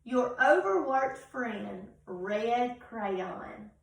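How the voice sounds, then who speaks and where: In a very echoey room, an overworked crayon played by a woman airs its complaints. The voice is high and creaky, weary and emphatic, in storybook read-aloud style. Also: animated, drawn-out words, full character voice. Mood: weary, exasperated. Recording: very echoey room, distant from mic.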